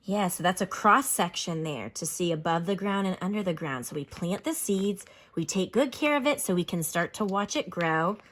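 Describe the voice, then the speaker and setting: A woman teacher reading aloud to children and explaining as she goes, with expressive, instructional delivery. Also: fairly low pitch, normal speed, hardly any pauses. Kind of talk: read-aloud lesson with explanations and questions to children.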